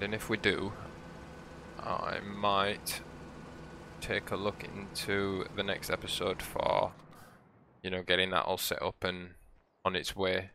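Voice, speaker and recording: A man with a croaky, hoarse voice, giving relaxed, rambling commentary as he plays a farming game for his channel. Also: fairly low pitch, noisy background. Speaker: let's play host. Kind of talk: casual gameplay commentary.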